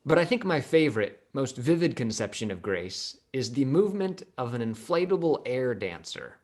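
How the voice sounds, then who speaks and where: A man reading a scripted reflection at a church service, in short, measured, emphatic phrases with pauses. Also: medium pitch, big pitch swings, a little mellow. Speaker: congregation member reading his reflection.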